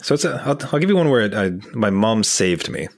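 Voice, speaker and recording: A Canadian man with a fairly low voice, chatting on a gaming podcast. He tells stories with energy and slips into voices for the people in them. His accent comes through on certain words. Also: big pitch swings, brisk, no room sound, clean studio sound. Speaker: podcast co-host. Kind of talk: casual chat.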